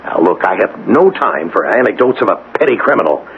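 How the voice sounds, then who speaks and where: An actor playing an indignant, rattled city official in a radio drama, blustering and halting when unsettled. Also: male voice, fairly low pitch, big pitch swings. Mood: impatient, indignant. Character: flustered, indignant male city official, authoritative but rattled. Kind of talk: 1980s radio drama acting. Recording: tinny, band-limited, noisy old radio recording.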